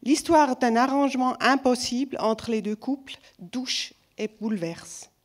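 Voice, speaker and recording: A woman with a foreign accent, not a native French speaker, giving an award speech as a jury member in a halting manner. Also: fairly high pitch. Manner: halting, searching for words. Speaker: festival jury member announcing a prize.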